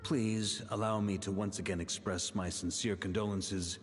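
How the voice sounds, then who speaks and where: An actor playing an earnest, dignified knight commander in a video game, formal and courteous, with long reverb on the voice. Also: male voice, lowish voice, steady tone, moderate pace, faint music behind, natural voice. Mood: solemn, earnest. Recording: long hall reverb, crowd murmur.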